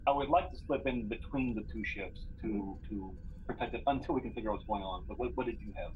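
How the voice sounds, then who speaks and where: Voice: medium pitch, fast, narrow, tinny audio, a little hiss or hum. A player in a tabletop role-playing game, chatting casually about what to do. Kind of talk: casual chat.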